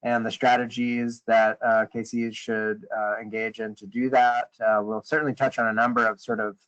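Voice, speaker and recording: A man leading a conference call, briefing informally in a hesitant, halting way and often rephrasing mid-sentence. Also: medium pitch, flat delivery, fairly bright tone. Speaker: meeting leader on a conference call. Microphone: conference call line. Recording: dull, lo-fi conference call audio.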